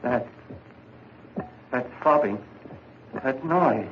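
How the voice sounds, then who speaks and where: An actor playing a nervous, guilt-ridden young murderer in a 1940s radio drama, stammering and quick to panic. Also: male voice, medium pitch, lively intonation. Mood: panicked. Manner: jittery, stammering, rising to panic. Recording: dull old radio recording with hiss.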